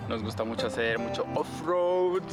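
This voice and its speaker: Male travel vlogger, mid-pitched voice, chatty, music underneath. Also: lively intonation, normal speed. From Italy. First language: Italian. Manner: chatty, explaining with restarts. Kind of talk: casual on-camera chat.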